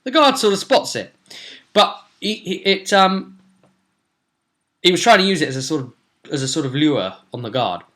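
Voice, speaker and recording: Young male vlogger and game master, bright edgy tone, casual, halting storytelling. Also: fairly high pitch. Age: young adult. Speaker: tabletop role-play game master, vlogger. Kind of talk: casual recounting of a game session. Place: small room at home, indoors.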